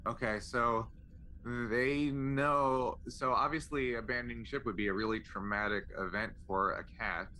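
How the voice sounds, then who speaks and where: Bright-voiced man playing a tabletop game. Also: mid-range voice, fairly even intonation, unhurried, a little hiss or hum, low-quality recording. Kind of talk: casual in-game chat, reasoning aloud.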